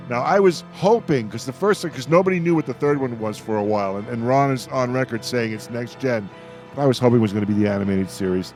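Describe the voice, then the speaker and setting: Male roundtable host, medium pitch. Effusive fan talk, halting delivery with doubled words. Music in background. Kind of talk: enthusiastic fan discussion.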